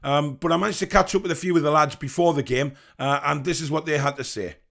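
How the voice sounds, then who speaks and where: A man hosting a football fan channel, sounding croaky from the flu, chatty as he interviews fans. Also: fairly high pitch, fast, fairly bright tone. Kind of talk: fan vlog and vox pops.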